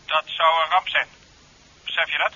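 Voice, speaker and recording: An actor playing a stern, demanding police commissioner in a radio drama, clipped and authoritative, heard through a telephone. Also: male voice, on the high side, little pitch movement, moderate pace, pushed and bright. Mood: alarmed, stern. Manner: clipped, authoritative, barking questions and orders. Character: stern, demanding male police commissioner giving urgent orders. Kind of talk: 1970s Dutch radio drama acting. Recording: dull 1970s radio recording, telephone sound.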